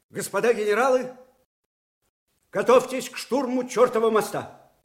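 An actor playing an aging army commander, fiery and anguished, in a theatrical radio drama with declamatory, weighty delivery. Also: male voice, high voice. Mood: fiery, resolute. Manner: declamatory, slow and weighty, swinging from hushed to thundering.

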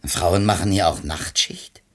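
A man's voice: sits low, measured pace, fairly bright tone. An actor playing a reflective, educated publishing editor in a 1970s East German radio play, searching for words as he talks. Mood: curious. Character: thoughtful, educated male publishing editor, curious and uneasy.

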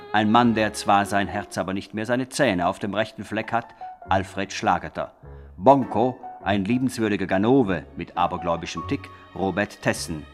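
A man reading credits as a scripted, tongue-in-cheek 1960s radio announcer in a mock-solemn manner. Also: on the low side. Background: music.

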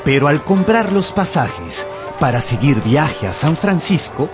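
A man narrates a radio drama in a theatrical, scripted style. His voice sits fairly high and moves in a lively way, with music underneath. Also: unhurried. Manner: storytelling, theatrical. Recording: music behind the voice.

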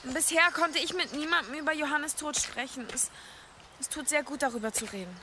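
A woman's voice: high voice, fairly quick, lightly acted. An actress in a German radio drama playing an earnest, practical young woman with a direct, sincere manner. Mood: earnest grief. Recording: clear ambient noise behind.

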